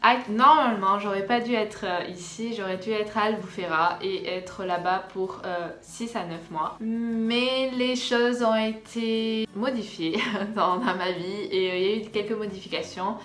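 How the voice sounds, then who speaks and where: A woman's voice: medium pitch, fairly even intonation, normal speed, hardly any pauses, fairly bright tone. Echoey young Frenchwoman chatting to viewers. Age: young adult. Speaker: vlogger. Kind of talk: casual storytime chat to viewers. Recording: echoey room with faint background music.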